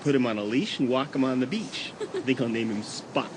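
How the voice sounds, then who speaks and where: A man's voice: medium pitch, big pitch swings, brisk, natural voice. A man playing a playful, teasing teenage boy in an audio drama, casual and jokey. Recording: outdoor sound effects and noise behind.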